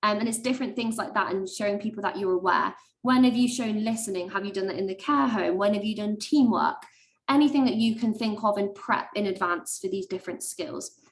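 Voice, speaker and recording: A young woman, a medical student, giving chatty webinar advice with lots of ums and likes and a high-pitched voice. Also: brisk. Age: young adult. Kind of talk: webinar talk.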